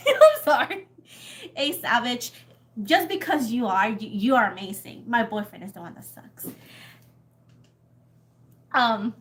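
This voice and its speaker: A woman's voice: fairly high pitch, very animated, slow pace, long pauses. A young Puerto Rican woman with a Puerto Rican accent, rambling and laughing as she chats casually with viewers of her tarot livestream. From Puerto Rico. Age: young adult. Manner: chatty and rambling, laughs often, swears casually. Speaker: tarot reader.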